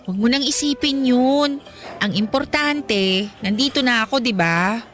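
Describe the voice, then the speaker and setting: An actress playing a playful, stubborn young woman in love in a Filipino radio romance, teasing and expressive. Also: a little high, a little slow. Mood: tender. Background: music.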